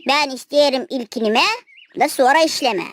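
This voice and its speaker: A woman's voice: high-pitched, normal speed, no room sound. A woman with a Gagauz accent voicing a cheeky, naive little child in a children's cartoon. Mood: curious, eager.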